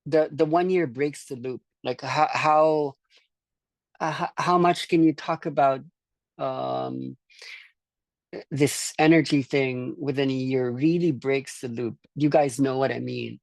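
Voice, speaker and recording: Creaky voice from the philippines, thoughtful group leader, halting and repeating words, dry close sound. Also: medium pitch, fairly even intonation, no room sound. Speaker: course leader. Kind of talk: reflective group discussion talk.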